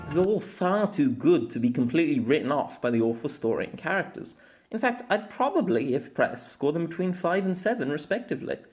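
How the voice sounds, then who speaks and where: A man narrating a scripted opinion essay as host, in deliberate, formal phrasing with colloquial asides. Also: a little high, sing-song, faint music behind. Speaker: host of an anime audio essay.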